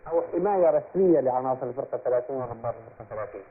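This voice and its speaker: Fast-talking man on tinny radio. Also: mid-range voice, expressive. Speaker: military officer being interviewed. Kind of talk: spoken interview answers. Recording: tinny, noisy shortwave radio relay.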